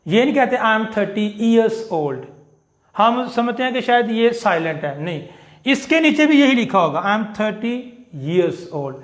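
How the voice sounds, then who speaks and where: A man teaching an online English phonetics class, slowly repeating each sound and example phrase. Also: sits high, sing-song, reverberant.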